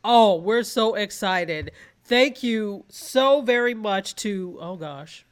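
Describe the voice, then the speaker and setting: A woman co-hosts a casual TV review chat with a nasal, stuffed-up voice from allergies. Her pitch sits in a middle range, and she banters with easy giggles. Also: lively intonation, takes it slowly. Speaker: podcast co-host.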